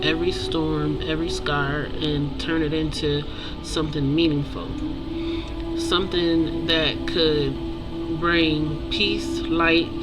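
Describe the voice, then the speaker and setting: An author speaking reflectively and earnestly to viewers in short, halting phrases with pauses. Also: mid-range voice, rough recording. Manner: earnest, inspirational. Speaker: author and creator introducing own book. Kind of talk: personal, reflective talk to viewers about own book. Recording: loud music behind the voice. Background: music.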